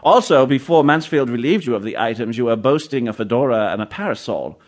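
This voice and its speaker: A man's voice: medium pitch, animated, fairly quick, lightly acted. A man playing a polite, erudite professor of the paranormal, formal and wordy, in a radio play. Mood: astute, courteous.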